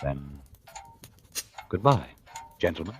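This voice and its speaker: An actor in a 1940s radio thriller playing a coolly calculating, murderous husband, measured and sardonic in manner. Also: male voice, low-pitched, animated, music in the background. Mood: coolly menacing. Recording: noisy, clicking old radio recording.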